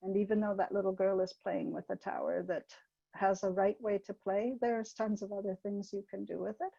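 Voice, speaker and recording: Older lady teaching on a webinar, gentle n hesitant, lotta ums, soft dark voice, kinda muffled call audio. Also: medium pitch, fairly even intonation, brisk, creaky, no room sound. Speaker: webinar presenter. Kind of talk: informal teaching talk with anecdotes.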